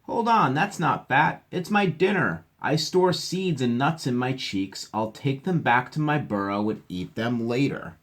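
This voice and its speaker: Man putting on a high-pitched, indignant hamster. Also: animated, unhurried, few pauses, a bit bright. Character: indignant food-hoarding hamster in a children's picture book.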